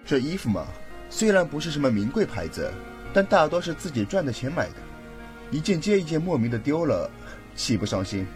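A man's voice: on the high side, very animated, a little fast, soft background music. An actor playing a tipsy, laid-back young man adrift at sea in an audio drama, wry and casual in manner. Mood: wistful, wry.

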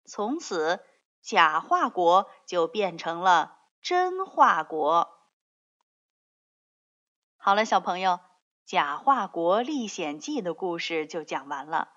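A woman narrating a scripted fairy tale for young children in an expressive storytelling manner. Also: lowish voice, slow pace, muffled recording.

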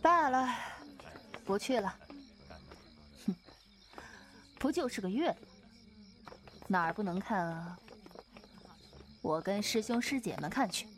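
A woman's voice: mid-range voice, expressive, takes it slowly, pauses often, a little hiss or hum. An actress playing an easygoing, apologetic Taoist swordswoman in a wuxia audio drama, speaking in a hesitant, roundabout way. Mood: wistful.

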